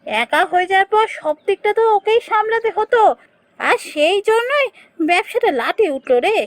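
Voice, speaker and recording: A woman voicing a caring, earnest village woman in a fairy-tale cartoon, expressive and melodramatic. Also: very high voice, moderate pace, dry recording. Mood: regretful, earnest.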